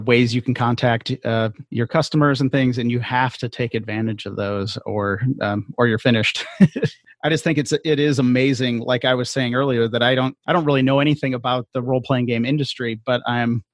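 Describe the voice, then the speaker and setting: A man's voice: mid-range voice, fairly even intonation, normal speed, no echo. Agreeable man chatting, dry close sound. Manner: agreeable, chuckles often. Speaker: podcast host, self-publishing game designer. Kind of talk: casual interview chat.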